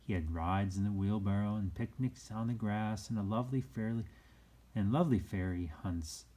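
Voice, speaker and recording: A man reading a children's story aloud as an expressive narrator. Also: sits low, breathy edge.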